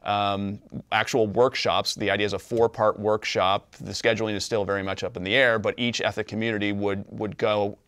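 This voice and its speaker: A man in his forties speaks with a smooth, lowish voice in a dry studio. He is an interview guest, laying out his points in an earnest, orderly way and restating them as he goes. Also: little pitch movement, normal speed, fairly bright tone, no room sound. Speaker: business co-owner, interview guest. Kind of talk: explanatory interview talk. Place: small TV studio.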